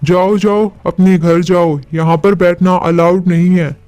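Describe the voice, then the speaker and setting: A man's voice: high-pitched, little pitch movement, a little slow, a little mellow, smooth, soft background music. A man playing a dutiful policeman in a cartoon, speaking in a stern, commanding way. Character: gruffly dutiful policeman who relents.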